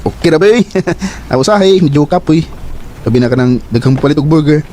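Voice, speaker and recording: A man's voice: a little high, expressive, brisk, a little mellow, slight background noise, close to an everyday voice. An actor playing a young man who works at a burger shop and falls for a girl online, in a radio drama. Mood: chatty. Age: young adult. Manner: eager, giggly, earnest.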